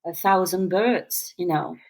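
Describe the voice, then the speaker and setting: Low-voiced Dutch-speaking woman in her fifties. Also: vocal fry, a little mellow, rough recording. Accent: mixed English and American, non-native. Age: 50s. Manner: reflective, halting with fillers and restarts. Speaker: interview guest, musical theater actor. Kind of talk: casual conversational interview.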